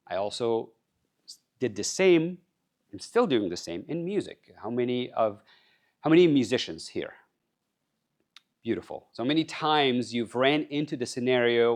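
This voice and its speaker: Guy giving a conference talk, lowish voice, earnest, keeps asking the crowd stuff. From Egypt. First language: Arabic. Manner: earnest, addresses the crowd with questions. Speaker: conference speaker. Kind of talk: motivational talk to a live audience. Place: conference stage.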